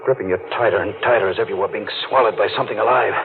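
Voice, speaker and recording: An actor playing a brooding, guilt-ridden deep-sea diver in an old-time radio mystery, speaking in a tense, halting way. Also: male voice, gravelly. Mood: haunted, fearful. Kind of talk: old-time radio drama acting with narrated inner monologue. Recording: muffled, noisy old radio recording.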